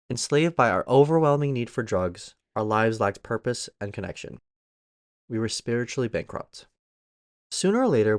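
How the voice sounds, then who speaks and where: A man with a lowish voice reads a daily meditation from a written text. The recording is very dry, with hardly any room around the voice. It is the delivery of someone reading aloud for listeners. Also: dry recording. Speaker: guest reader of a daily recovery meditation.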